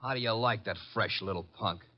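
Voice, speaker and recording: An actor playing a brash, tough, wisecracking detective's assistant in old radio drama style, slangy and sardonic. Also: male voice, lowish voice, fairly quick, noisy background, close to an everyday voice. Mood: impatient. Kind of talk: 1940s-style radio acting and narration. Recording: muffled old radio recording, music underneath.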